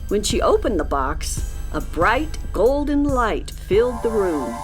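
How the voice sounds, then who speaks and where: A woman's voice: medium pitch, very animated, measured pace, poor audio. A woman narrating a scripted children's story. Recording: music playing behind.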